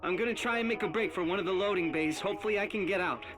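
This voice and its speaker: A man's voice: high-pitched, racing, over music, close to an everyday voice. An actor playing a plain-spoken male worker recording an audio log for a video game. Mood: panicked.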